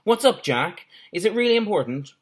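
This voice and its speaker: A man voicing a sensible, bossy schoolboy club leader in a children's story, brisk and questioning. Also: sits high, sing-song, pitched above the performer's own voice. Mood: puzzled.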